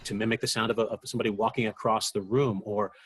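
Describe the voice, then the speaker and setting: A man giving a reflective documentary interview, speaking earnestly and deliberately and repeating words for emphasis. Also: lowish voice, steady tone, slight background noise.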